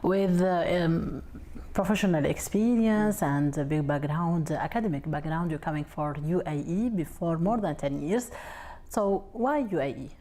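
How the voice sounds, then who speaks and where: A woman hosting an interview program, asking her guest questions in halting, simple phrasing. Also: sits low, mellow. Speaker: program host.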